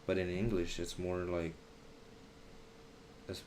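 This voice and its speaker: Low-voiced young man, halting stream chat. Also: little pitch movement, a little mellow, slight background noise. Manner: halting, searching for words, slangy. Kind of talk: casual live stream chat.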